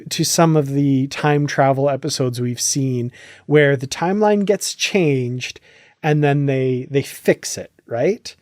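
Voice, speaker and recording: A man with a somewhat high voice hosts a casual fan podcast. He lays out his points in an explanatory way, checking that he is being followed. The sound is very dry, with no room to it. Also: darkish tone, no room sound. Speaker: podcast host. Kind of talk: casual podcast discussion of a science fiction franchise.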